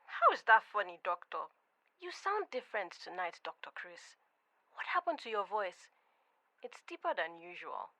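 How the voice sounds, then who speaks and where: A heartbroken, anxious young woman pours out her troubles in a soft, breathy, high voice, put on by a man telling the story. Her pitch swings widely. The sound is dry and close. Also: sing-song, moderate pace, dry recording, full character voice, low-quality recording. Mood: suspicious.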